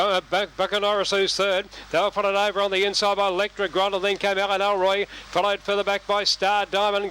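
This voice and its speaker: Aussie dog race caller guy, high monotone, sharp, faint outdoor noise. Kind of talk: live race commentary.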